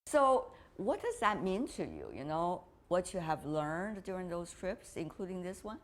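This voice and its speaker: Low-voiced woman interviewer. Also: very animated, a little slow. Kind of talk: interview conversation.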